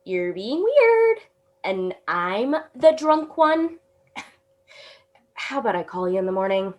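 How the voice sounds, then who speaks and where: A woman's voice: medium pitch, very animated, takes it slowly, darkish tone. An actress in a radio drama playing a young woman at college, tipsy and stammering. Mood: tipsy, playful. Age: young adult. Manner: hesitant, stammering. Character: young woman, college student far from home, tender. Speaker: college student.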